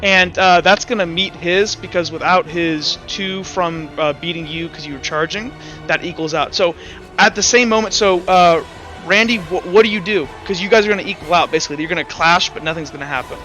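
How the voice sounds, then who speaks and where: A man acting as game master, narrating and explaining rules in a halting, self-correcting way. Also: high voice, bright, forceful tone, soft background music, poor audio. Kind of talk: improvised tabletop game narration and rules talk.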